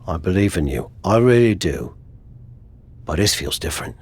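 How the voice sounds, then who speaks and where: A frank, supportive confidant in a wrestling game. His voice sits fairly low and moves with lively intonation, earnest and conversational. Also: normal speed, breathy edge, exaggerated voice. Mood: earnest, urging.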